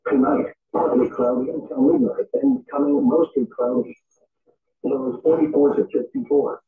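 A synthetic man's voice reads a scripted weather forecast over the radio in formulaic, clipped phrases. The pitch is fairly high and swings very widely. It sounds dull, played back from a radio speaker in an echoey room. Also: big pitch swings, normal speed.

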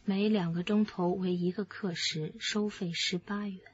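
A woman's voice: mid-range voice, steady tone, normal speed, lo-fi sound, background noise, close to an everyday voice. A woman acting in a radio drama as an earnest, probing police detective. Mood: puzzled, probing.

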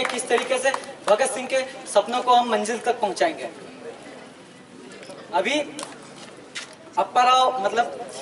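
A man in his twenties with an Indian accent gives a political protest speech outdoors, earnest and emphatic, with halting phrasing. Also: very high-pitched. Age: 20s. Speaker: student activist speaker. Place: outdoors at night at an open protest gathering. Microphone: handheld microphone, recorded by camera at a distance. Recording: distant outdoor public-address sound, crowd noise. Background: crowd.

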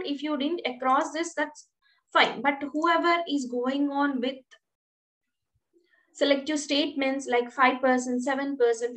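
A woman's voice: high voice, poor audio. A woman teaching programming in an online class, explaining step by step and asking the students questions. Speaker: programming instructor. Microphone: webcam on a video call.

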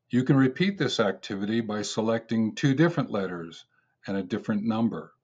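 A man with a fairly low voice hosts a gentle guided narration. He speaks very slowly and deliberately, leaving pauses between short phrases. The sound is dry and close, like a voice-over. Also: no echo. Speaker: host of a nature channel.

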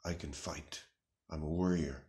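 A man in his thirties playing an anxious, earnest, melodramatic self-styled warrior in a halting, mock-serious monologue to camera. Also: very deep voice, steady tone, darkish tone, voice pushed down. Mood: grave, bewildered. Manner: halting, grave, deliberate delivery with long pauses. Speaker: comic video responder. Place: small room indoors.

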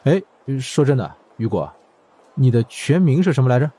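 A man acting a husky, grumbling office director. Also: mid-range voice, big pitch swings, normal speed, warm tone, pitched above the performer's own voice. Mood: businesslike. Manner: curt, dismissive, grumbling. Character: brusque, world-weary male bureau department director.

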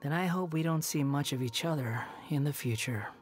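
A woman's voice: low-pitched, brisk, darkish tone, soft background music, lightly acted. A woman voicing an aloof, sardonic young male wanderer who is not human, in a video game. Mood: wry. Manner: cool, dry and sardonic.

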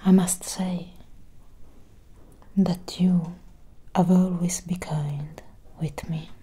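A woman playing a centuries-old vampire doctor in a soft-spoken ASMR roleplay, speaking in a solemn, measured, breathy whisper. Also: on the low side, steady tone, heavily acted. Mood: solemn, reassuring. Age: young adult. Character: centuries-old female vampire doctor, grave, gently caring.

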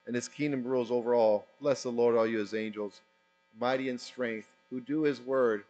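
A man reciting psalms in a steady, chant-like liturgical reading, with small slips and restarts. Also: medium pitch, lo-fi sound. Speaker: reader of psalms.

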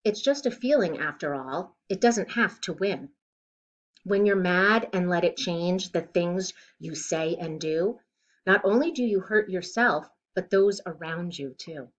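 A middle-aged woman reading a children's picture book aloud in an expressive, deliberate way, recorded indoors at home. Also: fairly low pitch, highs cut off. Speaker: children's storybook reader. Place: living room at home, indoors.

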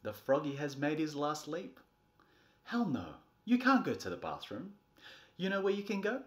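Theatrical man reading a storybook, very expressive pitch. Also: normal speed. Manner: theatrical, swinging from soft bedtime tone to exasperated outbursts. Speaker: presenter reading a picture book. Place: small furnished nursery room indoors.

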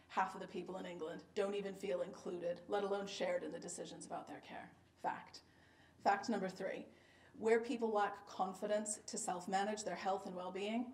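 A woman's voice: medium pitch, darkish tone. A woman from the United States with a Boston accent, giving an emphatic, data-driven keynote talk at a conference. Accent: Boston American. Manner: emphatic, data-driven, punchy repeated "fact" refrains. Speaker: keynote speaker, health service director. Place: conference lecture room. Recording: echoey room recorded from a distance.

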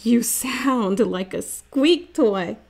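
A woman's voice: a little high, animated, very slow, vocal fry, a little mellow, exaggerated voice. A woman voicing teasing children in a storybook, with an emphatic delivery. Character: teasing young friends of a storybook boy. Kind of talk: children's storybook character reading.

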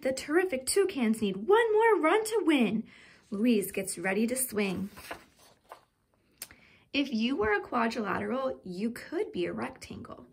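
A woman's voice: a little high, gravelly, slightly rough. A woman reading a children's picture book aloud like a teacher, enunciating carefully and with expression.

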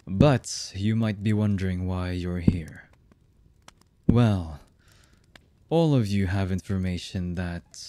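A man acting a very slow, deep, husky villain. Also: mellow, a little hiss or hum. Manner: slow, menacing, mock-polite. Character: cold, heartless male villain boss, calmly threatening captives. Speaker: voice actor. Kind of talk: ASMR villain roleplay acting.

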